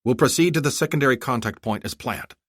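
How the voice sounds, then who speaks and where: A man's voice: medium pitch, fast talker, a bit bright, dry recording, studio quality, voice pushed up, lightly acted. A man voicing a proud, composed princess with a formal, imperious manner in an audiobook. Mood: commanding.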